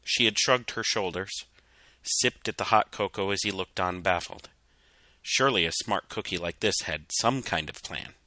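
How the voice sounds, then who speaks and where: A man reading a scripted story as narrator, with dramatic, measured delivery. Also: deep voice, pushed and bright, no room sound. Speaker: narrator reading fan fiction.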